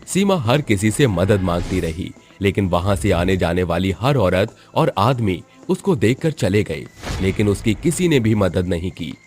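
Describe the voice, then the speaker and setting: A man's voice: deep voice, sing-song, unhurried, few pauses, a little mellow. A man reading scripted narration for a horror story.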